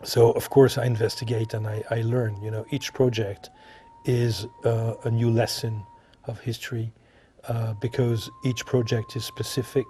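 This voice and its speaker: A man from France with a French accent, answering interview questions as an artist, halting and repeating himself as he searches for words. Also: mid-range voice, little pitch movement, mellow. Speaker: visual artist being interviewed.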